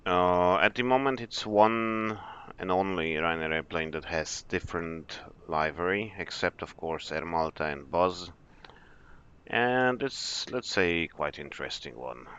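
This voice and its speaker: A man, an airline captain, chatting in a relaxed, explanatory way as he streams a flight simulator session. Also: sits low, unhurried, smooth, highs cut off. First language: Polish. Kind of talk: live stream commentary.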